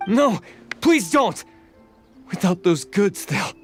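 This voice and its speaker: A man's voice: high-pitched, very animated, takes it slowly, exaggerated voice. A man voicing a guilt-ridden oni outcast in a video game, with an earnest, troubled manner. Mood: pleading. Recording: music under the voice.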